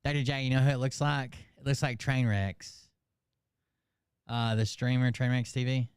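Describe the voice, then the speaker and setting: A man's voice: medium pitch, little pitch movement, moderate pace, clean tone, no echo, clean studio sound. A man giving wry, mocking reaction commentary over a video clip. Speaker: commentary host. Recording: loud background noise.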